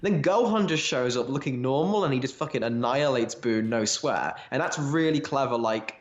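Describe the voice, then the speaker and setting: Male podcast co-host, mid-pitched, informal and eager fan talk that rambles along, captured in an echoey room. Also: animated, normal speed, highs cut off. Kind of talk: casual fan discussion. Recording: roomy, echoey home recording.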